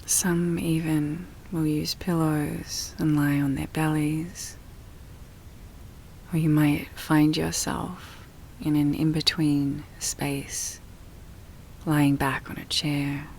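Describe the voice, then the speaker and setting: A woman guiding a relaxation meditation in a soft, breathy, often near-whispered voice, soothing and full of long pauses. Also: low-pitched, little pitch movement, a little hiss or hum. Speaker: yoga nidra meditation guide.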